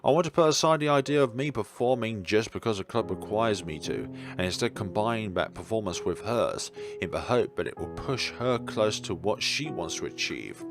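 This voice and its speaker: A man reading a visual novel aloud as a let's player, in expressive first-person narration. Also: fairly low pitch, normal speed, hardly any pauses. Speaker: video game let's player. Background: guitar music.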